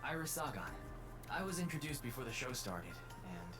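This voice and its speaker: A man's voice: medium pitch, big pitch swings, normal speed, close to an everyday voice. A man playing a composed, matter-of-fact police detective in a video game. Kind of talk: English video game voice acting. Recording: game music behind the voice. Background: game music.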